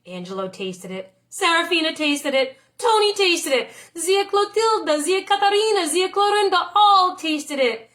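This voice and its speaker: High-pitched woman reading a storybook. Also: lively intonation, unhurried, hardly any pauses, a bit bright. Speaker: teacher reading a picture book aloud. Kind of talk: expressive storybook narration.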